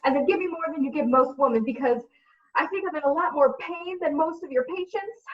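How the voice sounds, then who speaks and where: An indignant pregnant single woman, acted by a comedienne in a comedy radio play. Her voice is very high and demanding, picked up from a distance with a dull sound. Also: fairly quick, lightly acted. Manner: dramatic, demanding. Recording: dull, distant livestream microphone.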